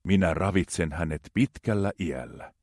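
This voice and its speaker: A man reading psalms aloud in a slow, measured, reverent way. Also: low voice, expressive, a little mellow, dry recording, clean studio sound. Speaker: scripture reader.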